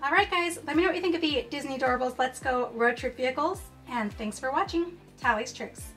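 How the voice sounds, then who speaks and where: A woman's voice: high-pitched, brisk, a bit bright, soft background music. A young woman hosting a cheerful toy unboxing, gasping and exclaiming over each toy as she opens it. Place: indoors at a tabletop set.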